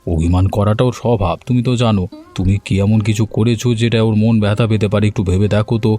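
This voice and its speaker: A man voicing a helpful, earnest village man in an animated story, careful and reassuring in his manner. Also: on the low side, fast talker, soft background music, natural voice. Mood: gently advising.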